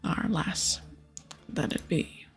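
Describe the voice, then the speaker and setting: A woman voicing a gruff, bossy male pirate captain in a breathy ASMR whisper, with piratical dialect. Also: slow pace, soft background music, natural voice. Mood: bossy, explaining. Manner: piratical dialect, explaining and ordering. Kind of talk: whispered ASMR game dialogue.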